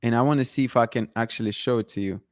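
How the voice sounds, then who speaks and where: A man's voice: mid-range voice, racing. A man hosting a casual live chat, reading viewer comments and talking with many restarts and fillers. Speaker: livestream host.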